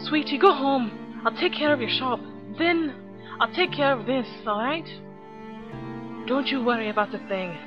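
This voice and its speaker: Casting: woman as loyal cartoon pony gal-pal, high voice, motherly, coaxing, protective, music underneath. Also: normal speed, highs cut off, natural voice. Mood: comforting. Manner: soothing, coaxing. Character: caring, protective female pony friend, motherly and indignant.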